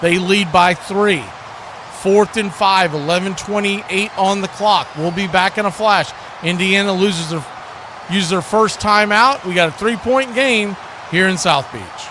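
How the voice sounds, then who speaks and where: A male play-by-play announcer calls live football in a high-pitched voice. Clear background noise sits behind his commentary as he follows the game snap by snap. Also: a little slow.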